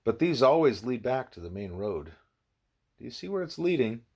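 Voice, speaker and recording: A man reading the part of a wise, patient teacher in a novel, speaking deliberately and didactically. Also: medium pitch, big pitch swings, darkish tone. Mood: patient, instructive. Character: wise, patient teacher leading a pupil by questions.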